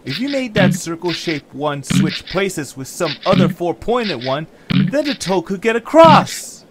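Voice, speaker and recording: A man voicing an eager, excitable young hero in an audio drama, earnest and full of exclamations. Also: sits high, measured pace, a crowd in the background, pitched above the performer's own voice. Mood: excited.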